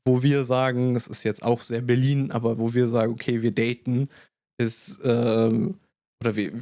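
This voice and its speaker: A man with a mid-range voice tells personal anecdotes in an animated, casual way, dropping English words into his sentences. He sounds close to the mic in a dry, dead space. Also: medium pitch, normal speed, no room sound. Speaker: podcast co-host. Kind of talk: casual podcast chat.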